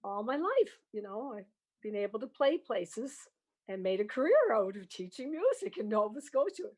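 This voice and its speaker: A woman's voice: fairly high pitch, very animated, moderate pace, a little mellow, breathy edge. An older woman, talking in an encouraging, plain-spoken way as an interview guest about her work. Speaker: music teacher, interview guest. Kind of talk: interview conversation.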